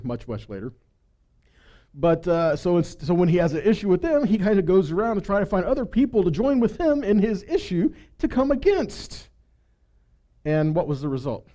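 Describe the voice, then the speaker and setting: A man's voice: high voice, warm tone. A man giving a conversational sermon as a preacher, teaching with rhetorical questions and frequent check-in tags.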